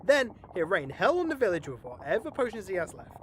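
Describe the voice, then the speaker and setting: A man acts a pompous, scheming gang boss in a fantasy comedy audio play. His delivery is theatrical and very animated, bossy and wordy, over faint background noise. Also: high-pitched, a little fast. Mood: wry, confessional. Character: pompous, exasperated, scheming gang leader named Richard.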